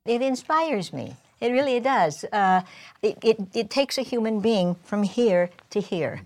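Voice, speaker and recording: A woman storyteller speaking earnestly, telling a true story in the first person as the person it happened to and slowing for emphasis. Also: on the high side, animated, darkish tone. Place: performance studio with audience.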